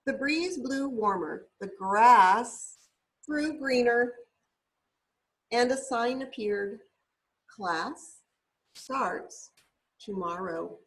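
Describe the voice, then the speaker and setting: A woman reading a storybook aloud to young children in a deliberate, teacherly way, sounding words out carefully. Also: fairly high pitch, low-quality recording. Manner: deliberate, spells words out letter by letter.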